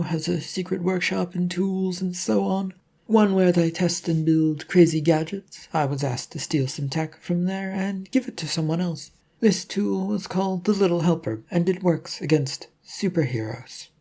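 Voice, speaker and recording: Sly, irritable female thief for an audiobook, voiced by a narrator; glib, snappy, pitched up. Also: normal speed, creaky, mellow. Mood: glib, explaining. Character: brash, quick-witted woman thief, irritable and sly. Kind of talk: audiobook character voice.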